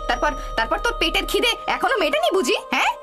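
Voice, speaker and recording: A woman's voice: very high voice, huge pitch swings, fast, music in the background, heavily acted. A woman voicing a cruel, sarcastic village aunt in a cartoon, scolding and taunting scornfully. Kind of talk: Bengali cartoon horror story acting.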